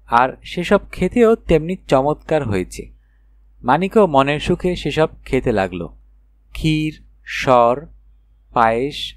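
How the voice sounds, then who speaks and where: A man narrating a children's fairy tale in an expressive storytelling manner with dramatic phrasing. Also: mid-range voice, no echo. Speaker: audiobook narrator.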